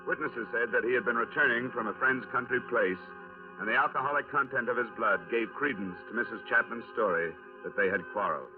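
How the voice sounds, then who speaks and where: A man's voice: mid-range voice, a little fast, lightly acted. An actor playing a terse, matter-of-fact insurance investigator who narrates his case in a 1950s radio crime drama. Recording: restored 1950s radio, narrow band, faint music.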